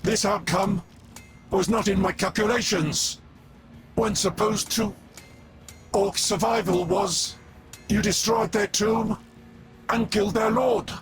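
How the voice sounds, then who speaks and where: A man's voice: high voice, steady tone, slow pace, long pauses. A man playing a pedantic, coldly logical cyborg tech-priest in a video game, with a formal, scornful delivery. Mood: incredulous, scornful. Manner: clipped, formal, disdainful. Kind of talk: video game character acting. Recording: clear game music behind.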